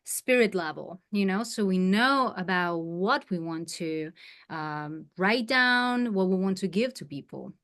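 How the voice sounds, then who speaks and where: A woman's voice: fairly low pitch, animated, a little slow, a bit bright. A young woman from Ukraine, a native Ukrainian speaker with a Ukrainian accent, talking earnestly and searching for words as a guest musician in an interview. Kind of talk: casual interview.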